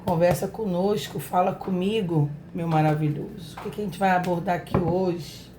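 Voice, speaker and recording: A Brazilian woman with a hoarse voice, giving a casual tarot reading and talking affectionately and directly to her viewers. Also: lowish voice, steady tone, normal speed, noisy background. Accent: Brazilian Portuguese. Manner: affectionate, chatty, talks straight to viewers. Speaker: tarot reader, channel host. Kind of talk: casual spiritual reading to camera.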